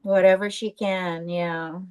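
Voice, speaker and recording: A woman's voice: lowish voice, fairly even intonation, slow pace, clean tone. A woman chatting casually on a live stream as a co-host, with an informal, outspoken and indignant way of talking.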